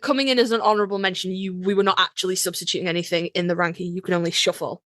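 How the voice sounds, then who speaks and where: A woman's voice: lowish voice, steady tone, rapid-fire, a bit bright, dry recording, clean studio sound. A woman co-hosting a podcast in casual chat, with a playful, bantering, self-deprecating manner. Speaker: podcast co-host.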